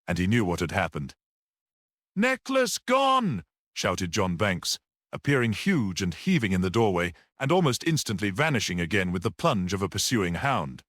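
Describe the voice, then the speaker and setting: A man reading a literary story aloud as a dramatic audiobook narrator, in an expressive, measured manner. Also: sits low, no room sound, studio quality.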